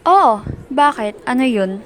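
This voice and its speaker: A woman's voice: sits high, lively intonation, slow pace, a little hiss or hum. A woman playing a brusque, snappy schoolgirl in an amateur radio drama. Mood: curt, irritated. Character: brusque, snappy schoolgirl brushing younger kids aside.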